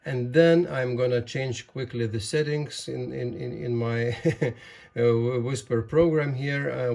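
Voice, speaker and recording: A man presenting a ham radio hobby demonstration, explaining things in a deliberate, methodical way. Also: mid-range voice, creaky, a little mellow.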